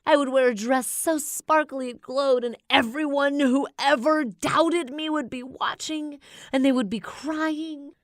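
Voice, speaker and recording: A woman's voice: high voice, fairly even intonation, unhurried, no echo, clean studio sound, pitched above the performer's own voice. A woman voicing a proud, furious female supervillain in a children's storybook, ranting with dramatic indignation and self-pity. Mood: furious, indignant.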